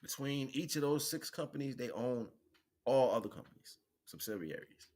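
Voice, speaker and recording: A man giving a rambling, informal spiritual monologue, preaching in an animated way with repeated phrases and slangy check-ins with his listeners. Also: medium pitch, normal speed. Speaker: spirituality vlogger, livestream host.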